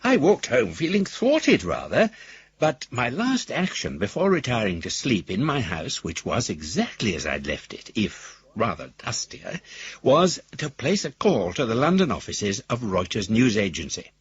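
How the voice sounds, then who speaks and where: An actor playing a wry, pompous older gentleman rogue, husky, narrating his letters in ornate, wordy style for a radio drama. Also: medium pitch, huge pitch swings, normal speed, muffled recording, exaggerated voice. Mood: wry, thwarted. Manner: wordy, ornate, self-regarding.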